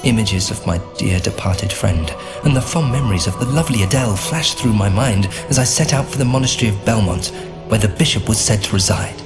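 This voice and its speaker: A man's voice: on the low side, lively intonation, brisk, music in the background. A man playing an earnest, sombre knight who narrates his own quest in a scripted game cutscene.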